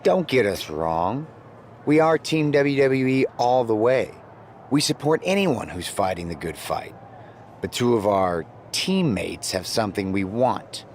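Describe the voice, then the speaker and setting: A man's voice: on the low side, big pitch swings, measured pace, darkish tone, a little hiss or hum. A man playing a cocky pro wrestler in a video game, delivering a smug, mock-reassuring promo. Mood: smug, resolute.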